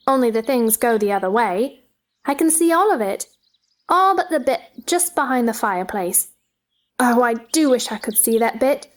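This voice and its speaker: A curious little girl as a woman acts her out chatters away in a high voice. She is eager, imaginative and childlike, made for a storybook play. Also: lightly acted.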